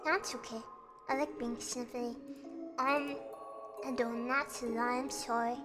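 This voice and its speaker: A woman livestreamer speaks in a very high, childlike register with a slight croak. Her pace is unhurried, and her casual chat is playful and self-deprecating. Music plays clearly behind her. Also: steady tone. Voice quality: high, girlish voice. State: slightly croaky and sniffly from singing. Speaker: livestreamer hosting a chat and karaoke stream.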